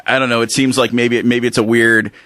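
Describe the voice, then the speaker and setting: A man hosting a radio interview, asking questions haltingly and stumbling over them. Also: medium pitch, level tone, bright, forceful tone, no room sound, studio quality. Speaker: radio host.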